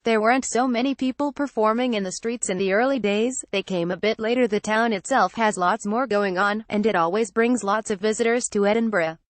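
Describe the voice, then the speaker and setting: A woman's voice: medium pitch, fairly quick, hardly any pauses, no echo. A woman narrating a written article in a scripted read-aloud style, going straight through the text. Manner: reads captions, credits and quotes straight through.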